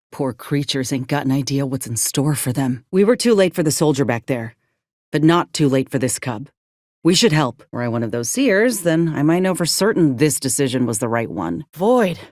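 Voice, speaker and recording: A woman's voice: low-pitched, darkish tone, no room sound, studio quality. A woman playing an earnest, plain-spoken young frontier soldier in a video game, with a blunt, folksy, principled manner. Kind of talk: video game character voice acting.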